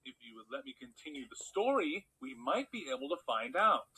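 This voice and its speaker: A man's voice: a little high, very animated, brisk, creaky, pushed and bright, slight background noise, exaggerated voice, low-quality recording. A man playing a patient but exasperated cartoon storyteller, reading a bedtime tale as narrator. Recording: music behind the voice. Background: music.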